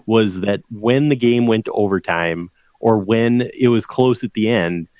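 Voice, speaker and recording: A man's voice: fairly low pitch, a little slow, no room sound, dull audio. A man co-hosting a casual sports podcast, explaining college football numbers in a conversational way with frequent restarts. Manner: conversational, stat-heavy, restarts mid-sentence.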